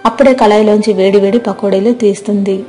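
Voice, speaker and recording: Mellow woman narrating a moral story. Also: mid-range voice, level tone, fast. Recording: music behind the voice.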